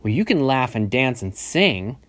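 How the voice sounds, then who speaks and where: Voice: medium pitch, sing-song, a little slow, dry recording. A storyteller voicing a sober, hard-working little pig who speaks in sing-song admonishing lines in a children's tale. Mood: warning.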